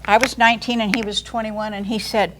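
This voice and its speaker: A woman in her eighties, a line dance instructor, chatting eagerly and excitedly about her family in an interview. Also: medium pitch, brisk, a little hiss or hum, poor audio. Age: 80s. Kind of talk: casual interview chat.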